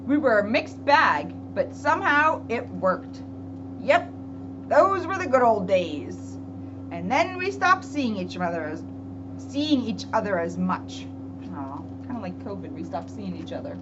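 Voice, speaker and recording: A woman reading a picture book aloud to children in an expressive, chatty way, with casual asides. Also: medium pitch, a little slow. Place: library room. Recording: dull, very noisy with a hum.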